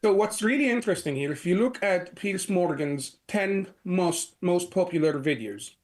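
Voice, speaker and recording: A middle-aged man from Egypt speaks as an interview guest in a somewhat high register. He talks in long stretches, peppered with "you know" and false starts. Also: animated, normal speed, a little mellow. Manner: talks in long runs with many "you know" fillers and restarts. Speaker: guest, security analyst. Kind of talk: interview answers.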